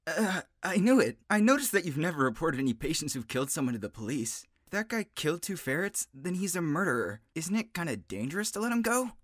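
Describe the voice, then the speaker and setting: Man voicing an awkward, animated teenage wolf. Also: on the high side, quick pace, few pauses, dry recording, clean studio sound. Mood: uneasy, questioning. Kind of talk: dramatic manga dub.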